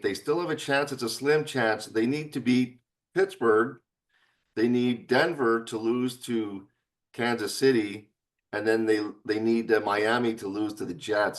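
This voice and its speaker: A man co-hosting a sports podcast, chatting in an easygoing, halting way with many fillers. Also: on the low side, distant mic. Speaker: podcast co-host, former football player. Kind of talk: casual sports chat.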